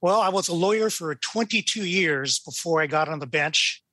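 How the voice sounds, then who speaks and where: A man's voice: a little high, moderate pace, bright, forceful tone, no echo. An American man in his sixties from California, a judge telling his story casually as an interview guest. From California, United States. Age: 60s.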